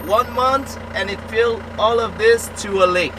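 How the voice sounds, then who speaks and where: A man's voice: high voice, moderate pace, noisy background. A man from Montreal vlogging from a safari jeep, chatty and teasing his travel partner. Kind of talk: casual travel vlog chat.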